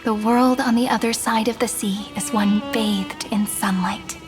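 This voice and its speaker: An actress voicing a gentle, wistful young woman in a game cutscene, speaking softly and solemnly over orchestral music. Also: mid-range voice, steady tone, unhurried, close to an everyday voice. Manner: soft, solemn, reverent. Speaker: game character.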